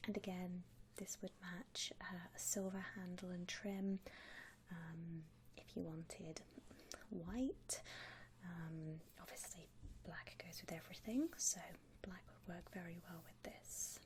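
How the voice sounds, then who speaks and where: A woman whispering breathily in an ASMR roleplay as a polite shop assistant, gently reassuring a customer with hesitant, accommodating patter. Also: on the low side, steady tone, slow pace, slight background noise. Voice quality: breathy, mostly unvoiced whisper. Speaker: ASMR roleplayer playing a coffin shop saleswoman.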